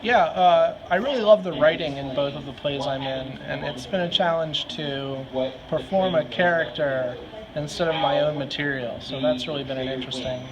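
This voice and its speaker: A man's voice: fairly high pitch, moderate pace. A man who acts, giving casual answers in an interview. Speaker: actor who also writes. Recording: dull audio, loud crowd chatter.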